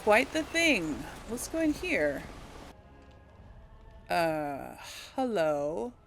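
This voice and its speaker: A woman's voice: on the low side, big pitch swings, drawn-out words, creaky, a bit bright, slight background noise. A woman giving casual role-play video game commentary, thinking aloud in a hesitant, conversational way. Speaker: gaming video host and player.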